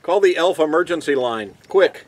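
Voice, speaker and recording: Animated, playful older man joking outdoors. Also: on the high side, moderate pace. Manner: jokey, playful, folksy. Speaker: vlog co-host. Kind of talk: casual joking chat. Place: outdoors in open desert beside an RV. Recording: outdoor ambient noise.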